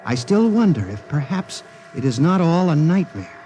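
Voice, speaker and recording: An actor playing an obsessed, fearful office clerk, narrating in an earnest, theatrical 1950s radio drama manner. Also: male voice, fairly high pitch, sing-song, moderate pace, mellow, a little hiss or hum, rough recording. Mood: brooding, dread. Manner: intense, earnest, formal, theatrical. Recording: 1950s radio broadcast with music behind.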